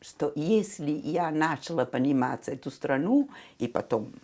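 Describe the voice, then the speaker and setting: A woman's voice: deep voice, animated, measured pace, dark tone. A woman in her seventies with an Italian accent, who grew up speaking Italian, tells her memories as an interview guest, laughing often and acting out the voices of people she quotes. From Italy. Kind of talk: reminiscing conversation.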